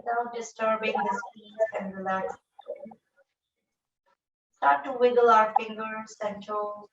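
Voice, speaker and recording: A woman leading a guided breathing meditation in a slow, soft, calm voice with long pauses. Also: a little high. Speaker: yoga teacher. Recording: echoey room, voice from a distance, dull sound.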